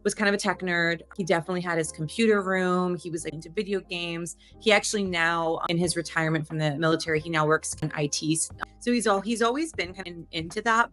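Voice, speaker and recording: A woman in her thirties from Delaware, telling her career story in a casual, animated interview. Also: fairly low pitch. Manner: chatty, animated, loose restarts. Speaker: guest.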